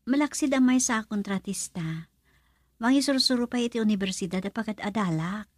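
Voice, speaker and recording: A woman's voice: fairly high pitch, animated, fairly quick, no echo, clean studio sound. A woman with an Ilocano Filipino accent acting in a radio drama as a modest, hesitant young working woman and respectful daughter. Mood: guarded, respectful.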